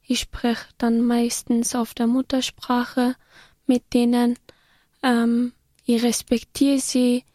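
Guest, woman, slow and flat, mellow, careful with fillers, somewhat high. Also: no room sound. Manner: simple sentences, many fillers, careful. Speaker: guest, first-contact worker. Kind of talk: radio talk guest.

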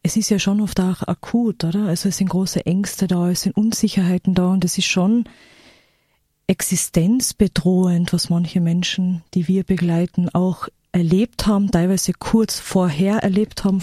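A woman's voice: lowish voice, fairly even intonation, normal speed, mellow, husky, dry recording, clean studio sound. A woman speaking as a guest in a radio interview, explaining her work conversationally.

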